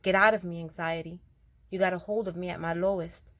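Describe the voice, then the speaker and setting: Raspy woman, kinda low voice, earnest spoken word, dry close sound. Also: fairly even intonation, a little fast, no echo. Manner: earnest, direct, addresses listeners as y'all. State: raspier than usual, throat slightly rough.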